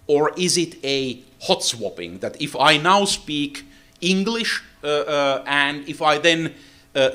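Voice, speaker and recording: Finnish guy lecturing at a tech seminar, lots of uh uh pauses, regular mid voice. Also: mid-range voice, sharp tone. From Finland. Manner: halting, many "uh, uh" fillers. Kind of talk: technical lecture.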